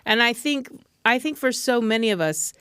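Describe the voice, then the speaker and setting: A middle-aged woman hosting a casual film-discussion podcast, chatty and quick to laugh. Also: fairly high pitch, smooth, no room sound, clean studio sound. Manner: chatty, laughs often, animated retelling. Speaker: podcast host.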